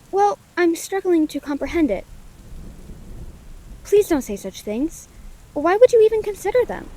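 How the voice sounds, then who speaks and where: A woman's voice: sits high, darkish tone. A woman playing a friendly, curious, caring lightning elemental in a scripted audio roleplay, speaking earnestly in quaint, formal words. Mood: worried, shocked. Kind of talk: scripted audio roleplay, gentle comforting monologue. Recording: rain behind the voice.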